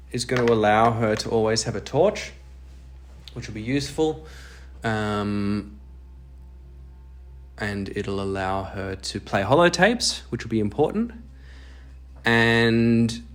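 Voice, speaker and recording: Man narrating a solo role-playing game. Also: lowish voice. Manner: thinks aloud while playing, self-deprecating asides. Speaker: host and player of a solo role-playing audio show. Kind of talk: narrated story mixed with casual game commentary.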